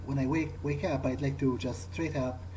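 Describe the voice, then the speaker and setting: A man role-playing through a video game, thinking aloud in character in a low-key, hesitant way. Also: fairly even intonation, moderate pace, dark tone, a little hiss or hum, rough recording. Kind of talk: in-character musing during gameplay. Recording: music behind the voice.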